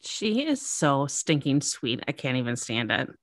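A woman's voice: rumbling low, sing-song, a little slow, no echo. A woman co-hosting a podcast, telling stories in casual chat, gushing, laughing a lot and swearing freely. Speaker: podcast co-host.

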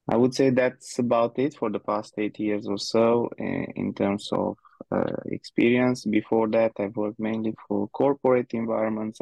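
A man speaks in a fairly low voice that has a gravelly edge. He pauses often and fills gaps as he thinks his answers through. The sound is dry, with no room around it. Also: dark tone, no room sound, lo-fi sound. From Romania. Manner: hesitant, many fillers, thinks aloud. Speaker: guest, head of people. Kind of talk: conversational interview answers.

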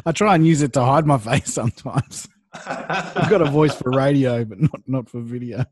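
A man's voice: medium pitch, normal speed, a little mellow, slightly rough. A man hosting a podcast, easygoing and affirming, telling stories in casual chat on a close studio mic. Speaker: podcast host. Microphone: studio microphone close up.

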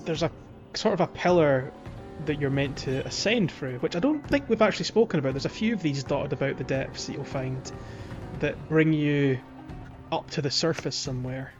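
A man's voice: on the high side, normal speed, muffled recording, over music. A man giving casual, chatty gaming commentary as a podcast host, telling things informally.

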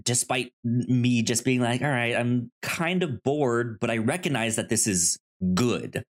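A podcast co-host chatting casually about movies in a halting way, often repeating sounds and the starts of words. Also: mid-range voice.

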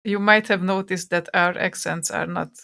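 A woman's voice: lowish voice, fairly even intonation, moderate pace, vocal fry, sharp tone. A woman with a Hungarian accent, co-hosting a podcast from home, chiming in easily and asking her co-host questions. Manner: easygoing, chiming in with short agreements. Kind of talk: casual conversation.

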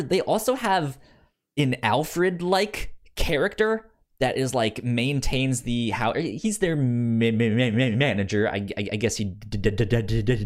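Male podcast co-host, casual and halting, stammers over words, pitch swings widely. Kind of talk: casual book discussion podcast.

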